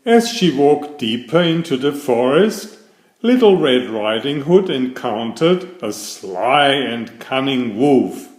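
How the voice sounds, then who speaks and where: A man narrating a children's fairytale aloud in a deliberate, expressive storybook style. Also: medium pitch, echoey room.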